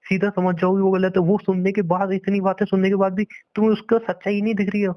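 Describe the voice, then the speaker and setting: A man's voice: high voice, little pitch movement, fast talker, no echo, highs cut off. A young man arguing in a private phone conversation, insistent and pleading, often repeating himself. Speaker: boyfriend on a phone call.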